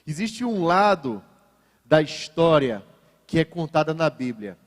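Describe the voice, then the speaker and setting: A man with a high-set voice and a Northeastern Brazilian accent preaches in a large church. His phrasing is deliberate and emphatic, built on repeated phrases. The hall adds a long reverb to his words. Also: sing-song. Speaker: pastor preaching at a church service. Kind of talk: sermon.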